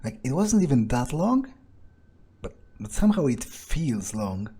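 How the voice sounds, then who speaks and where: A man with a husky voice improvising in-character commentary on a game, casual and sweary as he thinks aloud. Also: medium pitch, big pitch swings, measured pace, dark tone. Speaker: gamer playing in character.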